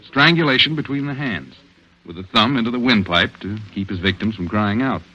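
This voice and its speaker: A dull vintage radio recording of a worried police detective. His voice is sharp and swings widely in pitch. He speaks in terse, brooding phrases, earnest in the manner of 1940s thrillers. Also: animated, a little fast. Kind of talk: 1940s radio drama acting.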